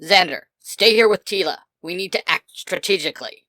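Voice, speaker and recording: A man's voice: high-pitched, lively intonation, moderate pace, sharp tone, no room sound, voice pushed up. A man voicing a stern, commanding military strategist in a story reading, firm and urgent. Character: stern, commanding middle-aged military strategist and father figure.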